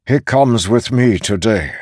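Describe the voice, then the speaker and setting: Forceful, commanding old warrior leader, voiced by a man. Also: fairly low pitch, animated, a little slow, breathy edge, no room sound, studio quality, pitched above the performer's own voice. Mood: decisive. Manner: forceful, peremptory. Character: commanding, impatient elderly male warrior leader.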